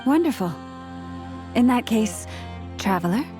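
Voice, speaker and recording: A woman playing a gracious, composed young noblewoman in a video game, earnest and warm, with a formal, measured delivery. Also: on the high side, big pitch swings, over music.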